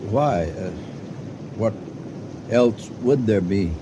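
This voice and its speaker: An actor playing a flustered, fearful but protective middle-aged husband, stammering and fretful in an old-time radio drama. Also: male voice, lowish voice, expressive, takes it slowly, warm tone. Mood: shaken, evasive. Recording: noisy old radio recording.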